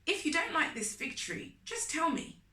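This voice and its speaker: A woman's voice: very high voice, sing-song, moderate pace, pushed and bright, voice pushed up, exaggerated voice. A woman voicing an earnest, guilty young boy in a children's storybook. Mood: apologetic, secretive. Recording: roomy echo, distant microphone.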